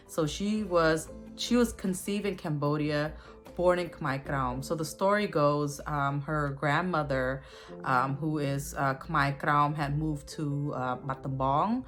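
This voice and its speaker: A woman in her forties, born in a refugee camp and raised in the U.S., tells her family's history slowly and thoughtfully, with many pauses and fillers. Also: low voice, a bit bright. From born in a Thai border refugee camp, raised in the U.S. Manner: thoughtful, with many ums and uhs. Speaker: guest sharing family history. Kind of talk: recorded personal testimony.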